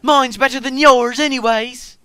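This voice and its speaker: A man's voice: extremely high pitch, fairly even intonation, a little slow, clean tone, no room sound, voice pushed up, heavily acted. A man voicing a vain, hot-tempered small steam engine from a children's story, spluttering indignantly. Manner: spluttering, shouting protests.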